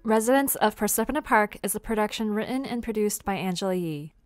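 A woman's voice: medium pitch, brisk, faint music behind. A woman announcer reads scripted credits. Her delivery is that of a prepared sign-off.

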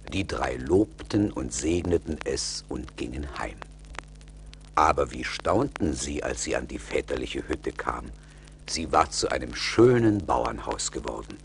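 Male fairy-tale narrator, bass voice, slow, expressive intonation, scripted radio play. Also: vocal fry, a little hiss or hum.

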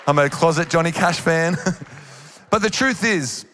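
A man's voice: a little high, unhurried, bright, forceful tone, slight background noise. A man in his thirties with an Australian accent, preaching a sermon on a church stage into a handheld mic, earnest and encouraging. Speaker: worship leader preaching. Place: large church auditorium on stage. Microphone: handheld microphone. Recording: live hall reverb.

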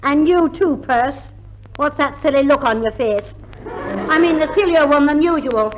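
Scolding, suspicious sister for vintage radio comedy; high, blunt delivery. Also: female voice, unhurried, lo-fi sound. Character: sharp-tongued, suspicious older sister, Minnie. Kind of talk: 1950s radio comedy acting.

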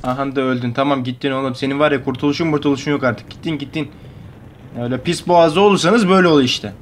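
A man's voice: mid-range voice, quick pace, a little hiss or hum. A young man from Turkey giving casual, slangy, joking reaction commentary as a video host. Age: young adult. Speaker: YouTube host reacting to a horror cartoon. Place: bedroom at home.

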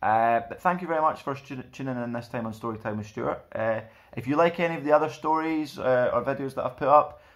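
A young man presenting and reading for children in an expressive storytime style, recorded indoors at about arm's length from the camera. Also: medium pitch, a little fast. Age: young adult. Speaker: storytime host reading a picture book. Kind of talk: expressive children's read-aloud with friendly presenting. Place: small plain indoor room.

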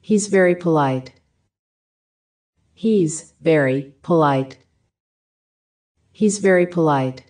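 A woman reading short English phrases for a language lesson, each one repeated with careful, even enunciation. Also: sits low, slow pace, long pauses. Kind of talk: slow repeated phrase drills.

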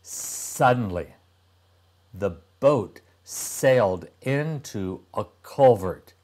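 A man in his sixties reading a storybook aloud to young children, deliberately and with dramatic pauses. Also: fairly low pitch, animated, darkish tone. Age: older, about 60s. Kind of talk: expressive storybook narration.

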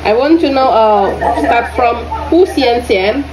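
A woman from Indonesia with an Indonesian accent teaching English to young students in an online lesson. She speaks haltingly, repeats her questions and fills her pauses with "uh". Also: a little high, lively intonation. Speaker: English tutor. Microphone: lesson audio recorded on a phone. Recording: roomy phone recording with faint noise.

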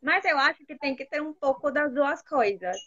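A Colombian woman whose first language is Spanish speaks Portuguese in a clearly high voice, calm and thoughtful, now and then searching for a word. She comes through a phone video call, so her voice sounds muffled and dull. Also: moderate pace. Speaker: Portuguese learner, guest. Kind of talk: casual conversation on a video call.

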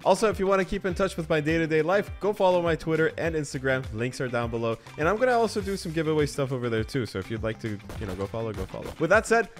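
A man's voice: on the high side, animated, fast, hardly any pauses. A man hosting a gaming video, joking and laughing as he chats over the game. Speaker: gaming YouTuber. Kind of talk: casual gaming commentary and chatty intro. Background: background music.

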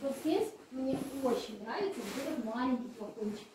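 A woman with a high voice leads an informal cave tour. She explains in fragments, pausing often as she goes. Her words echo off the rock, over clearly audible noise. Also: lively intonation. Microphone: handheld camera a few steps away. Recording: echoey, distant, noisy cave recording.

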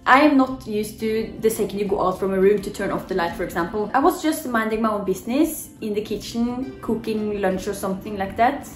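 A young woman with a Norwegian accent tells a story to her camera from a living room. Her pitch sits at a middling level, and her talk is loose and chatty, full of fillers and small laughs. The room gives her voice a noticeable echo, with faint music underneath. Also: darkish tone. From Norway. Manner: chatty, laughing often, many "you know" fillers. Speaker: vlogger.

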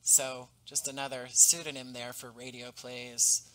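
A man in his thirties, a podcast guest, speaking in a measured, articulate way over a headset mic on a video call. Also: medium pitch, level tone, sharp tone, low-quality recording. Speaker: podcast guest, audio drama writer. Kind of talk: casual interview talk. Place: home room with painting behind.

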